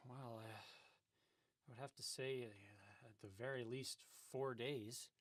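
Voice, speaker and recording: A man's voice: medium pitch, fairly even intonation, slow, breathy edge, no echo. An actor playing a confused, earnest radio DJ who has lost his memory and keeps asking questions. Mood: stunned. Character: confused amnesiac male radio DJ. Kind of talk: comedic audio drama acting.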